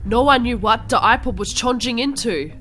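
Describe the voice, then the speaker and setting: A woman narrates in the dramatic voice of a melodramatic teenage goth girl. It is a comedic fan fiction read for a cartoon, with music clearly playing behind her. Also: fairly high pitch, normal speed, a bit bright. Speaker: narrator and lead voice.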